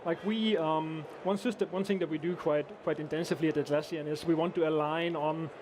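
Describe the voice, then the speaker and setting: Austrian-born engineer, high-pitched, halting casual talk over loud event noise. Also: male voice, steady tone. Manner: hesitant, many restarts and fillers. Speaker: guest engineer. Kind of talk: casual conversational interview. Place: conference event.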